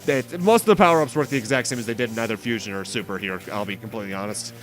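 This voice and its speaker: A man's voice: on the low side, rapid-fire, fairly bright tone. A man hosting a video game playthrough, giving commentary with rambling, self-interrupting asides. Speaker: host of a game playthrough series. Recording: game music behind the voice. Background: video game music.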